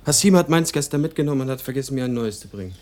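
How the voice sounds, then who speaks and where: An actor playing an easygoing writer staying at a hotel in a radio play, casual and matter-of-fact. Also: male voice, medium pitch, lively intonation, rapid-fire, a little mellow, close to an everyday voice. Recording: noisy room ambience, clicks, off-mic.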